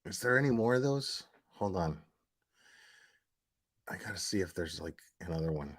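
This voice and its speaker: A man's voice: deep voice, animated, moderate pace, breathy edge. A man hosting a livestream, giving casual, slangy and sarcastic commentary on clips in an amused way. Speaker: livestream host reacting to clips.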